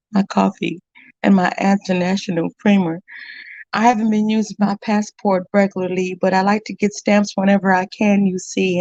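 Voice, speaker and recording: A woman's voice: mid-range voice, a little mellow, dry recording. A middle-aged woman performing spoken word poetry with a bold, sassy, rhythmic delivery. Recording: dull, dry, lo-fi sound.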